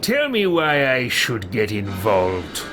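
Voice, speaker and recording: A man's voice: on the low side, wildly swooping pitch, slow, music in the background, full character voice. An actor playing a curt, reluctant male character in a fantasy video game. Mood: dismissive. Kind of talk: scripted video game acting.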